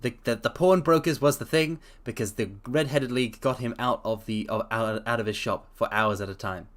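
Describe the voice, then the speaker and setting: A man's voice: mid-range voice, moderate pace. A man streaming a dramatic story reading, breaking off with enthusiastic, chatty asides to viewers.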